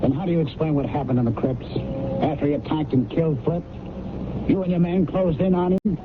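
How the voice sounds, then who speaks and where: A man's voice: fairly high pitch, fairly quick, phone-quality sound, lightly acted. Actor as probing man on noisy old radio. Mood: probing, insistent. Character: insistent, probing man demanding answers. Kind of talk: 1970s radio drama acting.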